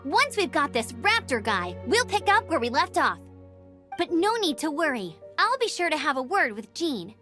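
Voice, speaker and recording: An eager young knight scout from a video game, speaks in a high, very lively voice. She is self-assured and determined, with light music playing behind her. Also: moderate pace, heavily acted. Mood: confident, eager. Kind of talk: scripted video game voice acting.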